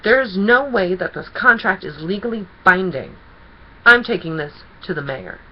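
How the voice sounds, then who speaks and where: A woman's voice: mid-range voice, animated, unhurried, highs cut off. A woman voicing a flustered, indignant male pony who protests in exasperation, read from a children's storybook. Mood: scornful. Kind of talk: children's storybook character reading.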